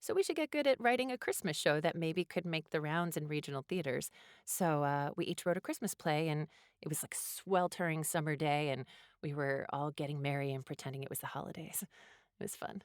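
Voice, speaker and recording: Woman, interview guest, casual conversational storytelling, deep female register, no room echo. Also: fairly quick, clean studio sound.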